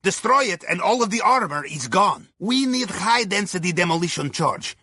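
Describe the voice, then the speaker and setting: An actor playing a wry, courteous Russian submarine pilot, advising on missions in a video game with a Russian accent and clipped grammar. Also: male voice, high voice, expressive, moderate pace, bright, forceful tone, slightly rough, dry recording, clean studio sound, heavily acted. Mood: wry, businesslike. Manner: wry, deferential, clipped grammar with dropped articles. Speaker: mission handler on comms. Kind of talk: scripted video game dialogue.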